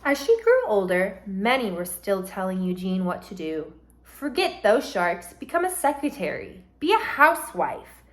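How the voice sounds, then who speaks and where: A woman teacher reading a storybook aloud to children in a deliberate, instructive, expressive way and explaining vocabulary as she goes. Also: medium pitch.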